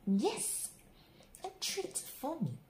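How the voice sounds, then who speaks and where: Female storyteller for kids' picture books, unhurried and hugely expressive, dramatic phrasing, recorded in an echoey room with faint noise. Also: high voice. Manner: dramatic, deliberate phrasing with drawn-out words. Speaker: picture book reader. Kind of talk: expressive children's bedtime story read-aloud. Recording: roomy echo, faint background noise.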